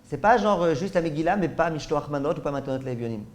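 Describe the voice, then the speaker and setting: A man's voice: a little high, expressive, fast talker. Man explaining with rhetorical questions. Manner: frequent checks with listeners ("d'accord?"). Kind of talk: spoken Torah lesson.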